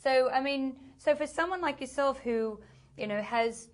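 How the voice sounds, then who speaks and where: A woman's voice: high-pitched, normal speed. A woman hosting an interview, asking questions and encouraging her guest with frequent affirming interjections. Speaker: host. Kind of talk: interview conversation.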